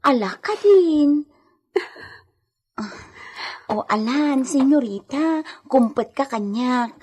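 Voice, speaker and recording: An actress plays a caring, chatty female helper in a radio drama. Her voice is high and slightly husky, and she takes her time as she coaxes and fusses in an eager way. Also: animated, takes it slowly, a little mellow, full character voice. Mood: caring, coaxing. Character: Edita, caring chatty female helper tending a sick lady. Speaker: voice actor. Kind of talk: radio drama acting in Ilocano.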